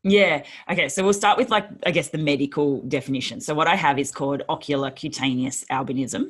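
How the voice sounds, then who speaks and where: A woman's voice: sits low, a little fast, breathy edge. A young Australian woman from Sydney, a guest in a casual interview, talking in an upbeat, chatty way with many fillers and frequent laughs. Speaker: guest, former teacher and Paralympian.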